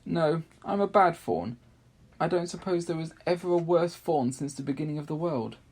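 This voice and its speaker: Storybook fawn, played by a man: gentle, guilty, sobbing creature, pitched up, with a husky edge. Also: moderate pace. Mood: sobbing, wailing. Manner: tearful, wailing, self-reproaching.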